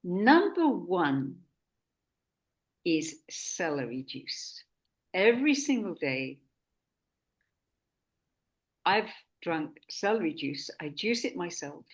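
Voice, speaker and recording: A woman hosting a health conversation, from Sweden, talking slowly and deliberately with frequent restarts. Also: on the low side, big pitch swings. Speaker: host. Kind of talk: casual talk.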